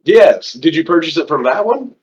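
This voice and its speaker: A man voicing a smooth, flattering male cashier handling a refund. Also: fairly high pitch, moderate pace, dull audio. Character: flirty male store cashier.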